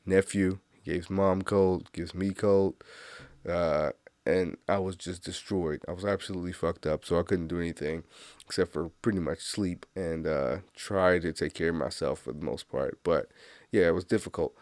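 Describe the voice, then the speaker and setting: A man's voice: low-pitched, fairly even intonation, normal speed, a little mellow, dry recording. Male solo host, congested, loose and rambling, dry close sound. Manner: rambling, laughs at own jokes, swears freely. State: stuffy nose, congested. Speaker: podcast host. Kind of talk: casual solo monologue.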